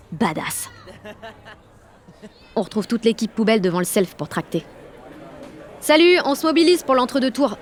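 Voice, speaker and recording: Woman playing a cocky high-voiced teenage girl activist. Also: natural voice. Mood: cocky, confiding. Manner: slangy, brash, confiding. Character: sixteen-year-old girl, cocky, exalted, foul-mouthed young activist. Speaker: lead actor and narrator. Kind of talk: first-person narration in an audio drama.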